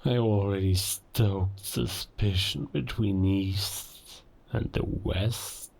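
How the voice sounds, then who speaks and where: A man playing a menacing, ancient werewolf who scorns humankind, speaking in a gravelly, theatrical, deliberate way in a cinematic audio roleplay. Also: lowish voice, heavily acted, low-quality recording. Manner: deliberate, theatrical, philosophical villain monologue with dramatic pauses.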